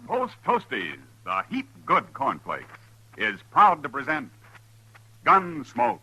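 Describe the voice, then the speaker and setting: Very bright, punchy American radio announcer man. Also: on the high side, wildly swooping pitch, slow pace, gravelly, slightly rough, dry recording, rough recording. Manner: emphatic, punchy salesmanship. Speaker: radio announcer and sponsor pitchman. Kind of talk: 1950s radio announcing, scripted.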